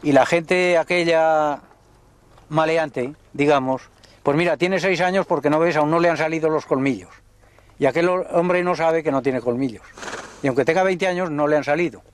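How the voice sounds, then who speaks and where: An older man, a livestock trader telling unhurried, folksy stories in a documentary interview. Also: fairly high pitch. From Spain. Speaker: horse and mule trader.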